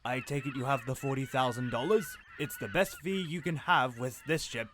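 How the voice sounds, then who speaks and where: A man's voice: medium pitch, moderate pace, a little hiss or hum, natural voice. A man voicing a scheming bear pirate and swindler, cowardly under threat, in an audio drama. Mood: smug.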